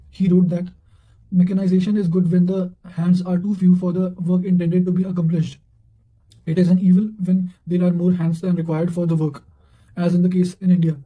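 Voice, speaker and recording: Guy w high voice, really soft mellow tone, reading out revision notes kinda formally, trips on words now and then. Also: rough recording. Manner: formal, halting reading with occasional stumbles. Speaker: narrator reading study notes. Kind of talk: read-aloud quotations and revision notes.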